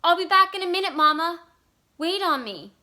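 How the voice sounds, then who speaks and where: A woman's voice: very high voice, expressive, moderate pace, sharp tone, pitched above the performer's own voice, full character voice. A woman playing a tense, helpless father in a story reading, speaking with strained urgency. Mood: shouting, desperate. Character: panicked father, grown man, tense and helpless.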